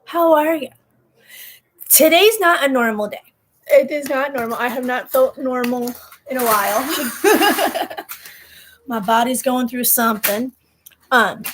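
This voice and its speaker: A young woman in her twenties telling a personal story in casual chat, animated, laughing and going off on tangents. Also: high voice, background noise. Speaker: vlogger.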